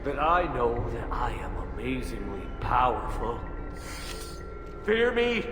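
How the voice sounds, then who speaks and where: Slow, pompous, deluded little alien. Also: male voice, mid-range voice, sing-song. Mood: grandiose. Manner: grandiose, theatrical proclaiming, slightly woozy. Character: drugged pompous alien merchant with godlike delusions. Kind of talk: video game voice acting. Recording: echoey reverberant hall, music behind.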